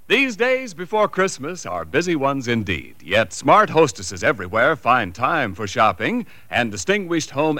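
Animated, forceful American radio announcer. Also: male voice, mid-range voice, dry recording. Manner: polished, emphatic salesmanship. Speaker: commercial announcer. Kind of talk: 1940s radio advertisement, scripted.

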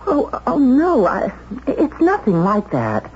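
An actress playing a prim, easily flustered but spirited young secretary in a 1970s radio drama, speaking with proper, hesitant diction. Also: female voice, fairly high pitch, huge pitch swings, slow, a little mellow, a little hiss or hum, heavily acted. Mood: flustered, indignant. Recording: dull vintage radio recording.

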